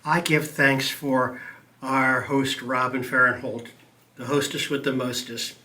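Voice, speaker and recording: Man leading church prayer; bright tone, a bit off the mic, earnest and measured. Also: mid-range voice, flat delivery, recorded from a distance. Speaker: practitioner and guest speaker. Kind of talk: spoken prayer. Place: church meeting room.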